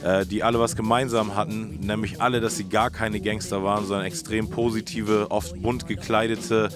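A male radio host with a low-pitched voice that moves very little in tone. He talks casually and colloquially, feeling his way through phrases as he explains music history, while music plays clearly behind him. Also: steady tone, moderate pace.

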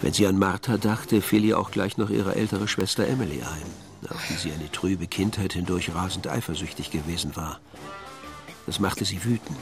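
A man narrating a scripted radio drama as a storyteller, with a gravelly voice. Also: low voice.

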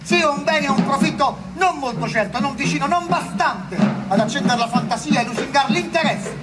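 A man's voice: very high-pitched, normal speed. A man playing an earnest republican deputy in a historical drama, urging an assembly with emphatic oratory. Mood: urgent. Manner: declamatory, emphatic. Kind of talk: theatrical political oratory. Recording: loud music behind the voice. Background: music.

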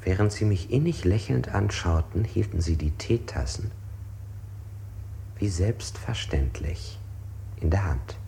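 An actor playing an anxious interrogated man in a 1960s radio play, recounting precisely and deliberately. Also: deep voice, lively intonation, creaky, noisy background, exaggerated voice. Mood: uneasy, brooding. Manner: deliberate, self-correcting, precise.

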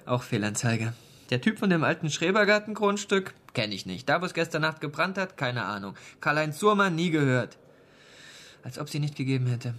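An actor in a radio crime drama playing a matter-of-fact police detective with a dry, persistent manner. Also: male voice, a little high, very animated, normal speed, bright, forceful tone.

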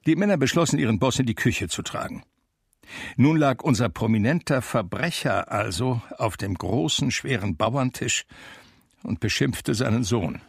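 A man acting the narrator of a radio drama, a reflective blind man telling his memories in a measured, wry, gravelly voice. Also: medium pitch, animated, no echo, studio quality.

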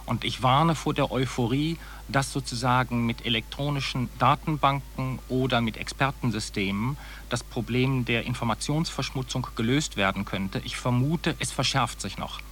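A man with a mid-range voice talks as a researcher being interviewed on radio. He phrases things academically, with critical emphasis. The old cassette recording has clear noise. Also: mid-range voice, normal speed, fairly bright tone. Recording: noisy digitized 1980s cassette.

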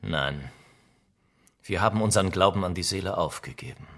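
An actor in a German radio drama playing a worldly, cynical, middle-aged aristocrat with an urbane, ironic, theatrical delivery. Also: male voice, sits low, moderate pace, creaky, a bit bright, full character voice. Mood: languid, admiring. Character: worldly, cynical aristocratic older friend, witty and languid.

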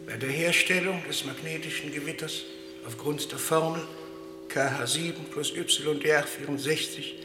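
An actor playing a dutiful, coolly zealous professor in a German radio play, reciting science in a precise, clipped manner. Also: male voice, a little high, steady tone, normal speed, close to an everyday voice. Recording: echoey hall reverb, music behind.

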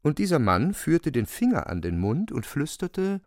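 A man narrating a literary radio play in a deliberate, expressive storytelling manner, close to the microphone. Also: fairly high pitch, no echo.

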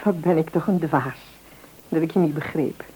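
An actress playing a warm, devout middle-aged wife and mother in a radio play, speaking in a homely, heartfelt way. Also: low voice, normal speed, close to an everyday voice. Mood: tender. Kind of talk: mid-century radio play acting. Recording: dull, noisy old radio recording.

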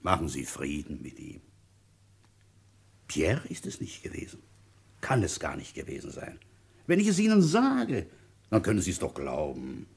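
An actor playing a methodical, dryly patient village police commissioner who thinks aloud conversationally in a German radio drama. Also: male voice, deep voice, wildly swooping pitch, normal speed, gravelly, pauses often, slightly rough, full character voice. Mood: patient, probing. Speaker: narrator and lead detective in a radio play. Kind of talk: German radio drama, intimate first-person narration.

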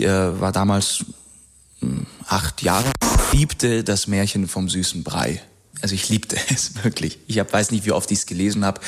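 Casting: bashful nice guy in radio drama, lowish raspy voice, halting natural delivery. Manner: hesitant, halting. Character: bashful, good-natured man sharing a personal childhood story.

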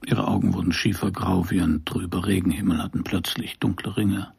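An actor playing a brooding detective who narrates his own case in a measured, wry, gravelly voice for a radio crime drama. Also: deep voice, fairly even intonation, a little mellow, no room sound, exaggerated voice. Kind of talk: first-person noir radio narration.

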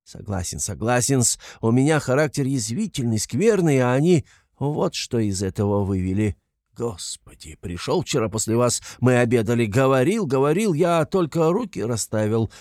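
A man's voice: medium pitch, very animated, normal speed, darkish tone, dry recording, clean studio sound. A man playing a wily, fussy police investigator from a classic novel, falsely fatherly, rambling and coaxing in an obsequious way. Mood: fussy concern.

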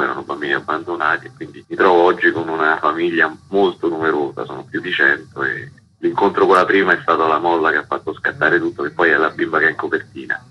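A man's voice: rumbling low, dull audio. A middle-aged man, an author being interviewed, telling his own story in a radio interview.

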